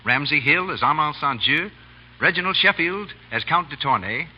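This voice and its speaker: A man's voice: on the high side, animated, normal speed, breathy edge. A man with an American accent announcing a scripted 1930s radio show. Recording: muffled old 1930s radio broadcast.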